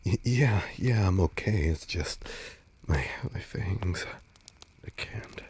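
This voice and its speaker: Casting: male actor for a nervous, soft-spoken vampire lover in audio roleplay; very low register, slow, halting delivery. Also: expressive, husky, heavily acted. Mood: strained, hungry. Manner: hesitant, stammering, soft-spoken. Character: nervous, tender male vampire on a stargazing date, resisting hunger. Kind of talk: intimate audio roleplay addressed to the listener.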